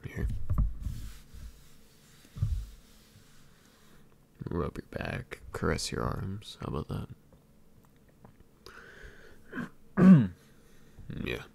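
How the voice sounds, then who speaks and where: Guy playing soft clingy emo boyfriend for asmr, super low crackly murmur, slow n tender. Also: huge pitch swings, heavily acted. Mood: reassuring, soothing. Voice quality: very deep, gravelly, breathy murmur. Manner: tender, unhurried, halting and doting. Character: affectionate, clingy emo boyfriend soothing his partner. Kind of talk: intimate boyfriend ASMR roleplay.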